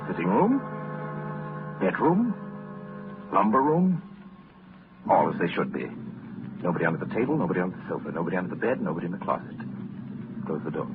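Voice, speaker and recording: A man narrating a 1930s radio drama in a measured, theatrical storytelling manner. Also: low-pitched, noisy background. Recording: tinny old 1930s radio recording, music.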